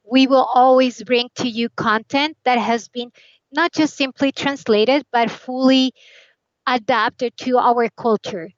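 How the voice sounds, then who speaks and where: Colombian woman, native Spanish speaker, fairly high voice, enthusiastic guest explainer; dull dry recording. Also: no room sound. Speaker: localization guest. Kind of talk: casual interview talk.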